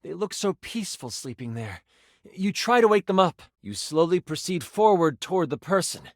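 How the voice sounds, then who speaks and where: A theatrical game master narrates fantasy adventure in a highish male voice. The delivery is expressive and swings widely in pitch, savoring suspense, recorded close and dry for a video game. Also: moderate pace, no echo. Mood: suspenseful storytelling. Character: personified D20 die, male, theatrical, enthusiastic game master. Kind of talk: dramatic tabletop fantasy narration.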